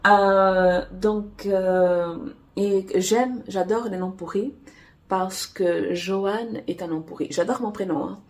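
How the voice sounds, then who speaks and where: A woman romance author whose first language is Portuguese, chatting casually to camera in a halting way with many fillers and restarts. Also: medium pitch, steady tone, darkish tone. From Angola. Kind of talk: casual vlog chat.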